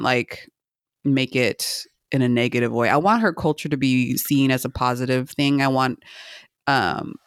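A woman's voice: a bass voice, clear, resonant tone, dry recording, studio quality. A woman co-hosting a podcast in casual chat, thinking aloud in loose, hesitant phrases. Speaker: podcast co-host.

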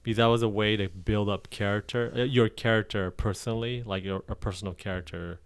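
A man's voice: fairly low pitch, steady tone, fairly quick, clear, resonant tone, no room sound. A man co-hosting a podcast, chatting casually and explaining things. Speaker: podcast co-host. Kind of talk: casual chat.

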